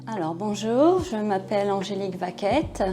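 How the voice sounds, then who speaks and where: A woman's voice: mid-range voice, expressive, moderate pace, warm tone, soft background music. Composed woman in her forties. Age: 40s. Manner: composed, articulate. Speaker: interviewee. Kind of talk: testimonial interview.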